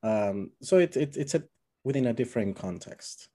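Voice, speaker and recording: A man's voice: medium pitch, lively intonation, moderate pace, warm tone. A man hosting an interview, asking long, rambling questions full of fillers. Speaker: host. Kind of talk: conversational interview.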